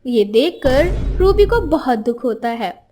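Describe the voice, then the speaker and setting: A woman's voice: high-pitched, big pitch swings, takes it slowly. A woman narrator reading a scripted story in a dramatic storytelling style.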